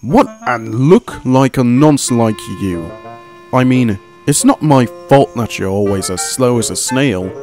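A man's voice: mid-range voice, unhurried, darkish tone, faint music behind, close to an everyday voice. A man doing a cocky, teasing engine. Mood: scornful. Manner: smug, taunting.

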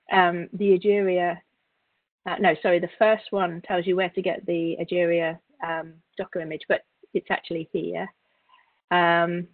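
A woman instructor giving an informal software tutorial, explaining step by step with halting, repeated words. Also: fairly low pitch, no echo. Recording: narrow, phone-like call audio.

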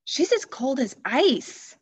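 Bold storybook girl, high and excitable, impulsive, played by a woman; muffled sound. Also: slow pace, slightly rough, no echo, full character voice. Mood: upset, angry. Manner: excitable, high-pitched outbursts. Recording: muffled classroom webcam audio.

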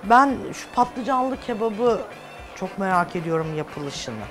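A woman's voice: mid-range voice, expressive, takes it slowly. A woman in her thirties hosting a cookery show, interviewing casually and echoing her guest's answers. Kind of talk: casual interview with short reactions. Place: TV studio kitchen set. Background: background music.

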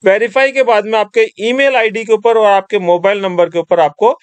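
A man with a high, clear voice walks the listener through an online application one step at a time. He keeps it instructive and calls his viewers friends. The sound is dry and close, recorded at a desk mic in a quiet room. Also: steady tone, normal speed, no echo. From Rajasthan. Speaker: tutorial presenter. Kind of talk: screen-recorded tutorial voice-over.

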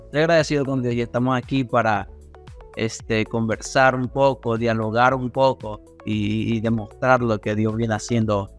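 A young man with a coastal Ecuadorian accent talks as a podcast guest. He has a medium-pitched voice and a casual, halting way of telling things, repeating words as he goes, with faint music in the background. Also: fairly even intonation. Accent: Ecuadorian coastal Spanish. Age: young adult. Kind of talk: casual interview storytelling. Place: small indoor studio room on a video call.

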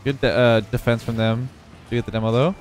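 Young male gaming creator, congested, casual play-by-play over soft game music, mid-pitched. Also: fairly quick. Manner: relaxed running commentary on his own play. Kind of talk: live gameplay commentary.

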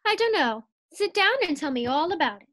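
A woman's voice: high-pitched, sing-song, brisk, a bit bright. A woman playing a teasing, coy, scatterbrained young wife in a 1940s-style radio comedy.

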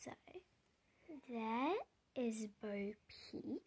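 A storybook reader going through a picture book aloud in simple, deliberate phrases, pausing and putting questions to the listener. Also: mid-range voice, darkish tone, husky. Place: indoors, book held before the camera.

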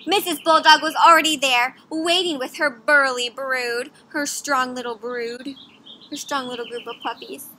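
A young woman reading a picture book aloud to children outdoors, pausing to ask them questions in a teacherly way. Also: high-pitched, a little hiss or hum. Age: young adult. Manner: animated teacherly questioning, addressing children as friends. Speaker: children's story reader. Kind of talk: interactive picture-book read-aloud for kids. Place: outdoors in a garden or yard.

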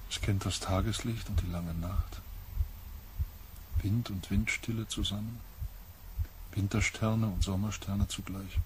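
Man playing brooding sci-fi empath guy, lowish voice, halting and intense, bit of noise behind. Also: steady tone, close to an everyday voice, rough recording. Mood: awed, brooding. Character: empathic expedition member, rapt and overwhelmed by alien mind. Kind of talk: radio drama acting. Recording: faint ambient noise.

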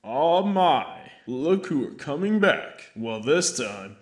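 Man as a husky, slow, smug villain. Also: on the high side, very animated, heavily acted. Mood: scheming. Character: smug, lecherous villain plotting for online fame. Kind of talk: comedic anime parody dub.

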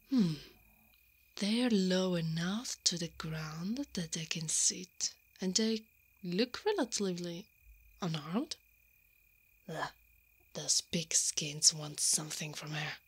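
Breathy, sardonic lady knight, acted by a woman. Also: fairly low pitch, exaggerated voice. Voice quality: breathy, often dropping to a whisper. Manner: muttering to herself, sardonic. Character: brave, brash tsundere female knight on a rescue. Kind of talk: ASMR roleplay acting.

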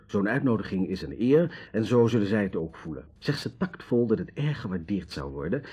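An actor playing a polite, thoughtful young tutor in a Dutch radio drama. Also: male voice, on the low side, animated, fairly quick, warm tone, slightly rough. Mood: earnest.

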